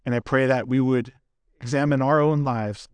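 A man preaching as a pastor to his congregation, earnest and deliberate, with emphatic phrasing in measured lists. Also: medium pitch, steady tone, clean tone, dry recording. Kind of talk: expository sermon closing in prayer.

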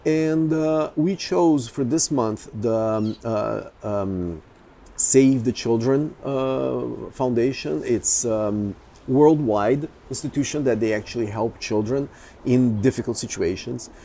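A man whose first language is Portuguese, talking casually as a photographer, with many pauses, fillers and restarts. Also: medium pitch, vocal fry, mellow. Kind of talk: casual chat.

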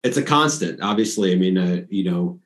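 A man's voice: low-pitched, animated, brisk. A middle-aged man hosting an interview, speaking in an informal, rambling conversational way. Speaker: interviewer, host. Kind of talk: casual chat.

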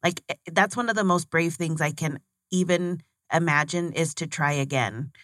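A woman's voice: low voice, moderate pace, a bit bright, dry recording, studio quality. A woman hosting an interview, responding in an earnest, thoughtful and encouraging way. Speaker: host. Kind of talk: interview conversation.

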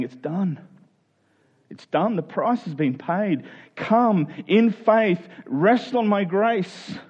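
A man's voice: fairly high pitch, a little mellow, lo-fi sound. A man preaching a conversational Bible sermon in an earnest way, posing rhetorical questions and voicing imagined objectors. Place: speaking live to a congregation.

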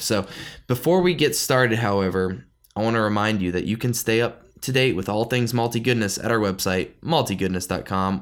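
A man co-hosting a beer podcast, talking in a chatty, informal, enthusiastic way. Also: lowish voice. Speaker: podcast co-host. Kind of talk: casual beer-tasting podcast chat.

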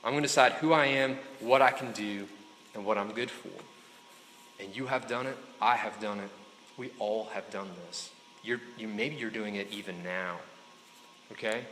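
A man's voice: medium pitch, a bit bright, a little hiss or hum. A man giving a casual, conversational sermon to a room of students, often checking in with them and slipping in jokes. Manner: chatty, keeps checking in with "right?" and "okay?"; joking asides to the crowd. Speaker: speaker addressing students. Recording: echoey hall with faint room ambience.

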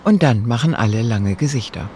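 Male narrator for a documentary feature, mid-pitched, wry storyteller, music underneath. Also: lively intonation, fairly quick.

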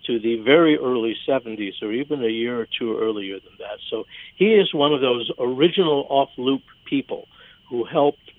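A man's voice: medium pitch, moderate pace. An American man speaking as a theater critic in a conversational radio discussion. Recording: slightly dull, band-limited radio sound.

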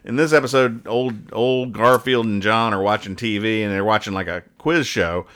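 A man with a lowish voice hosts a casual show. He rambles along conversationally, describing things in a joking, mock-serious way. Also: fairly even intonation, moderate pace, a bit bright. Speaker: podcast host. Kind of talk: casual banter, reading and describing comic strips.

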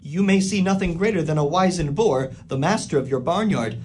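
A man's voice: fairly high pitch, fairly quick, a little mellow, a little hiss or hum, close to an everyday voice. An actor playing a nervous, pious young male schoolmaster who stammers and speaks with fussy formality in a radio play. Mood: preachy. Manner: stammering, hesitant, overly formal. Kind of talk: community radio play acting.

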